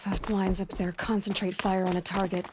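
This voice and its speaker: A woman acting a resolute young huntress in a video game. Her mid-pitched voice is direct and firm, with clear ambient noise behind it. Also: flat delivery, normal speed, close to an everyday voice. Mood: observant. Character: determined, principled young female hunter. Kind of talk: video game cutscene dialogue.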